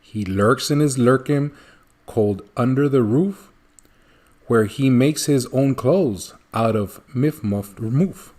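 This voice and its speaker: A man reading a rhyming children's storybook aloud in an expressive narrating style. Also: mid-range voice, slow. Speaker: storybook reader.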